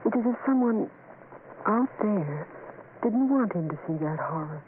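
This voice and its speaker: A woman's voice: mid-range voice, expressive, moderate pace, natural voice. An actress playing a frightened wife and protective mother, breathless and melodramatic, in old radio horror. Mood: hushed awe. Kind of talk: 1970s radio horror acting. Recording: noisy, tinny old radio recording.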